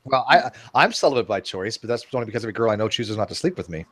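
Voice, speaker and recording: A man's voice: on the low side, lively intonation, quick pace, a bit bright. A man hosting a casual video call chat, rambling and joking at his own expense with lots of restarts. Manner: self-deprecating, rambling with restarts. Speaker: host.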